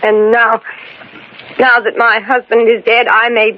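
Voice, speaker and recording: An actress playing a distraught, anxious widow haunted by a curse, pleading in a 1940s radio mystery style. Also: female voice, on the high side, flat delivery, unhurried, lightly acted. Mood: weary, afraid. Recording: muffled, noisy 1940s radio recording.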